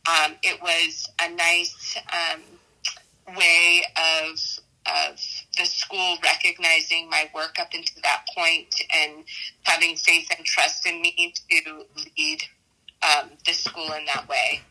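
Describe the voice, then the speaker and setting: A middle-aged American woman giving reflective interview answers as an educator, haltingly, often repeating and correcting herself. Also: low-pitched, steady tone, bright, forceful tone, low-quality recording. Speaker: math teacher and school dean.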